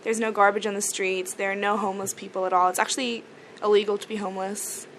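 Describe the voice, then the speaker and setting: A woman's voice: medium pitch, level tone, fast talker, a bit bright, slight background noise, rough recording. A young woman from South Africa, a native Afrikaans speaker, chatting easily as a musician guest, often giggling and joking dryly. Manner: giggly, easygoing, dry jokes. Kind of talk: casual interview chat.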